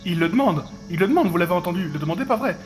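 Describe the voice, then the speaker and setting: A man's voice: sits high, lively intonation, very fast, over music. A man playing a muddled, agitated eccentric in a French audio drama, rambling and repeating himself as he warns off intruders. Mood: frantic.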